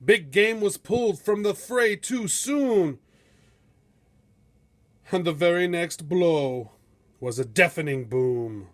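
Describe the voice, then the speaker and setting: Male storybook narrator, high register, unhurried delivery, dramatic and measured, suited to heroic verse. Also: animated. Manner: theatrical, measured, epic storytelling. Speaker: storybook reader.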